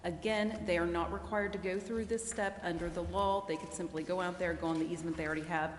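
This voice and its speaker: A woman legal adviser explaining a matter to a public meeting in a measured way. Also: fairly low pitch, fairly even intonation. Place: council chamber. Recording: very echoey hall, faint noise.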